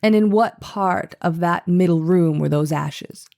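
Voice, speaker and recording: A methodical defense attorney of the 1890s read by a woman questions a witness in a trial reenactment. The voice is low and very mellow, with formal, deliberate, probing phrasing. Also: vocal fry. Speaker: voice actor reading a lawyer's part. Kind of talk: dramatized reading of a trial transcript.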